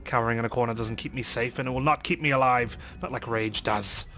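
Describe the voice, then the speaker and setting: A man narrates a visual novel in the first person. His delivery is theatrical and expressive, like a dramatic storyteller, with soft music playing behind him. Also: mid-range voice, quick pace. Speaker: let's play host reading a visual novel aloud.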